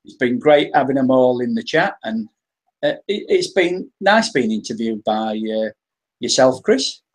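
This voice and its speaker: A man's voice: mid-range voice, animated, unhurried. An English man talking casually as the guest on a live-stream interview, often restarting and repeating his words. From England.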